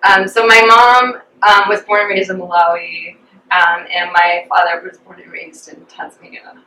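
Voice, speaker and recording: A woman's voice: on the low side, flat delivery, moderate pace, bright, forceful tone. A young American woman, an interview guest, chatting reflectively about her life with many fillers. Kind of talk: casual personal storytelling. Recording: roomy echo, distant from the mic.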